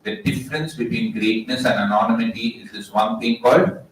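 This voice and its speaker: Young Indian man, loud and jokey storyteller, heard from a distance in a very echoey hall. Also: mid-range voice, fairly quick, slight background noise. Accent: Indian English. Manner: jokey, asks the crowd questions. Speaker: motivational speaker. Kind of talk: storytelling talk to a live audience.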